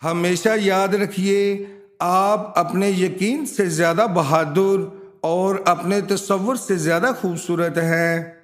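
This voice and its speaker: A man reading scripted tips and sayings as a voice-over narrator, in a measured, instructional way. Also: high voice, fairly even intonation. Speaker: narrator of a home remedies and quotes channel.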